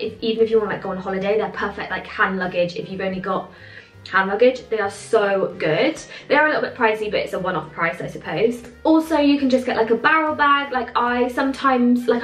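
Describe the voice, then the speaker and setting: A young woman chats to camera in a small room, her talk loose and peppered with "like". Her voice sits at a middling pitch and bounces off the walls. Faint music plays underneath. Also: echoey room, low-quality recording. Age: young adult. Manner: chatty, full of "like" fillers. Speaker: fitness vlogger. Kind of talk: casual chat to camera. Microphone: camera in front at desk distance.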